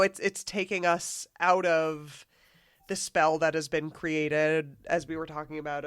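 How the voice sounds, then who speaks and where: Female podcast guest, slightly low voice, informal conversational book talk. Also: vocal fry. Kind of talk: casual book discussion.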